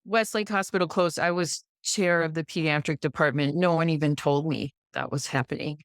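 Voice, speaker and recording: A woman's voice: sits low, steady tone, moderate pace, smooth, dry recording, studio quality. A middle-aged American woman talking emphatically in a conversational interview, often pressing points with repetition and rhetorical questions. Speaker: podcast guest, pediatrician.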